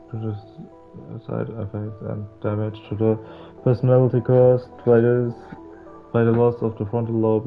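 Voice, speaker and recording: A man reading visual novel text aloud as a playthrough host, stumbling over words now and then in a halting way. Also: on the low side. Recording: muffled lo-fi mic, music behind. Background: game music.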